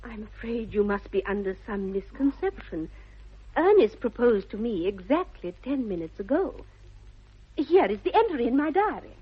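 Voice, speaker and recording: An actress playing a sweet, prim, self-possessed young girl with an upper-class English accent and a soft, breathy voice, demurely formal in a 1960s radio comedy of manners. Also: a little high, very animated, normal speed, heavily acted. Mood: coolly polite. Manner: demure, polite, carefully formal. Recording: dull vintage 1960s radio recording.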